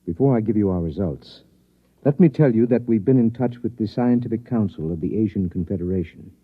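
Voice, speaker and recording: Through a thin, vintage radio recording comes a solemn professor. His low voice is husky and measured, formal in every phrase, like a scientist delivering terrible news in a 1950s radio play. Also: phone-quality sound. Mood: grave. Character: grave scientist professor bearing news of doom. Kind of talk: 1950s radio drama acting.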